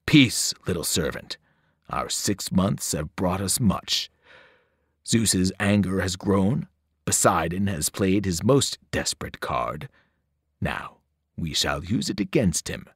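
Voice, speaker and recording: A man voicing a cold, ancient male monster that speaks from a pit, slow and scornful. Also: low-pitched, animated, fairly bright tone, husky, no room sound, clean studio sound, voice pushed down, full character voice. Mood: menacing. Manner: menacing, scornful, slow and looming.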